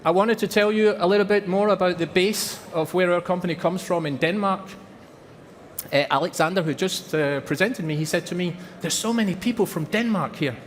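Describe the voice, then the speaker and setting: A man's voice: high-pitched, brisk, big-room sound, slight background noise. A Scottish man giving an earnest presentation at a trade fair, repeating words for emphasis. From Scotland. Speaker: company presenter. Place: large exhibition hall.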